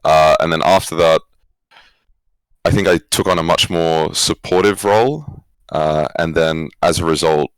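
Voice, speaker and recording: A young Australian man with an extremely low voice talks in a casual interview. He is reflective and hesitant, rewording his thoughts as he goes, on a dry, close recording. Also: measured pace, clear, resonant tone, no room sound, rough recording. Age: young adult. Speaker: esports coach, former pro player, guest.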